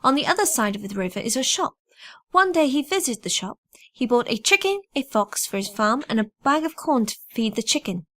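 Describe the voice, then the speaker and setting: Female podcast co-host for relaxed banter; wry, a little halting, recorded dry. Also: a little high, dry recording. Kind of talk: casual group chat.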